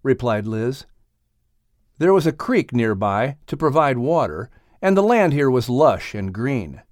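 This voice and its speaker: An American man narrating and announcing scripted children's stories in a theatrical, expressive way. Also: mid-range voice, a little slow, no echo, studio quality. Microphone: close studio microphone.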